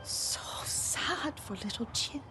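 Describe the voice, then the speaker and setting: An actress playing a frail, devoted elderly Japanese woman in a game drama, breathy and weak, halting and wistful, often fading into a whisper. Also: natural voice. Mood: wistful, tender. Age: older. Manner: tender, halting, wandering. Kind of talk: dramatic video game acting. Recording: music behind the voice.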